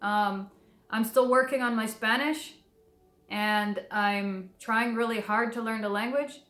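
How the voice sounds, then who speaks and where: A woman's voice: a little high, fairly even intonation, measured pace, a bit bright, roomy sound. A woman talking casually to camera, chatty and rambling, full of fillers and restarts. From southern Texas near the Mexican border. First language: English. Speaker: devotional video creator.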